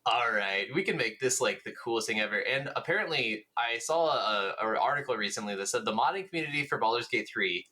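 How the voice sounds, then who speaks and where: Bright-voiced man chatting about games. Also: medium pitch, animated, quick pace, few pauses. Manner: enthusiastic, rambling, casually sweary. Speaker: podcast co-host. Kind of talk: casual gaming podcast chat.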